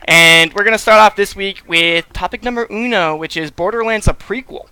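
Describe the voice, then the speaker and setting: A man in his mid-twenties hosting a gaming podcast in casual, bantering group chat, animated and loose with his language. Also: sits high, normal speed, clear, resonant tone, no echo. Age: mid-20s.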